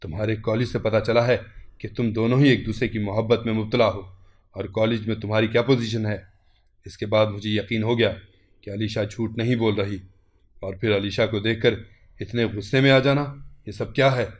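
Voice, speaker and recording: A man voicing a stern, disappointed older uncle in an audio story, grave, reproachful and measured. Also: fairly low pitch, steady tone, voice pushed down, lightly acted. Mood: accusing.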